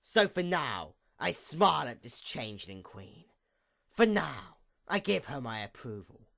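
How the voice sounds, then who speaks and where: A woman voicing a proud, wounded pony goddess of night in a theatrical villain monologue, menacing and grandiose with a gravelly creak. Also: very deep voice, big pitch swings, a bit bright, exaggerated voice. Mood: cold disdain. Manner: theatrical, menacing, grandiose, with slow drawn-out emphasis. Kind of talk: dramatic villain monologue, fan fiction reading.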